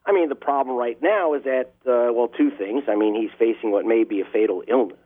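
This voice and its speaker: A man speaks as an investigative journalist in an interview, his delivery impassioned and pressing hard on key words. His voice sits at an ordinary pitch. The sound comes through a thin, phone-like line with the low and high ends cut away. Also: brisk. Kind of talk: interview answers. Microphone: telephone line.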